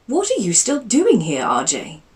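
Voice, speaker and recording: A woman's voice: mid-range voice, lively intonation, unhurried, recorded from a distance, pitched above the performer's own voice. A woman voicing a genteel aristocratic aunt who is fond and teasing, speaking in a prim, emphatic way and recorded a little off-mic. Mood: concerned.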